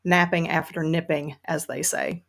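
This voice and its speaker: A woman's voice: sits low, steady tone, moderate pace, gravelly, a bit bright, heavily acted. A woman playing a seething middle-aged Southern woman, reading first-person fiction with sardonic emphasis and a Southern US accent. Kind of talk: dramatic first-person audiobook-style reading.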